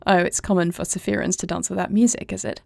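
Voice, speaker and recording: A close, dry recording of an earnest young warrior princess, acted by a woman in an audio roleplay. Her voice is mellow with a creaky edge, and she speaks softly in formal, royal phrasing, anxious yet tender. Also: lowish voice, fairly even intonation, fast talker, no echo. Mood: fond, playful. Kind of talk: intimate audio roleplay acting.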